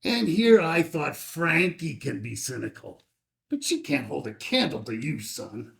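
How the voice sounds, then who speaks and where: Guy playing an old veteran dad in a drama read, slow creaky voice, grieving but wry. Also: fairly high pitch, big pitch swings, heavily acted. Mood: wry, tender. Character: ageing veteran father, grieving, wry, reflective. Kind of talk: dramatic film script table read.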